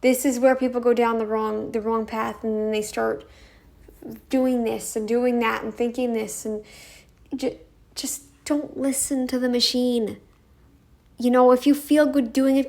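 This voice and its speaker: Young woman vlogger, croaky and gravelly, unhurried, halting delivery. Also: on the high side, steady tone, a little mellow. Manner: rambling, halting with restarts. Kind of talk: casual talking-head vlog.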